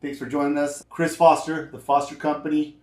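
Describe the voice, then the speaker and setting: A man hosting a casual interview show, energetic and loose, often ending lines with "man" and "right?". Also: fairly high pitch, brisk, roomy sound. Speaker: podcast host.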